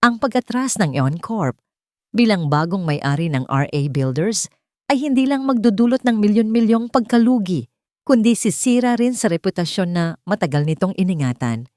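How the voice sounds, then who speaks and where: A woman reading scripted dramatic narration as a storyteller, in measured short phrases. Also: medium pitch, expressive, darkish tone, no room sound, studio quality.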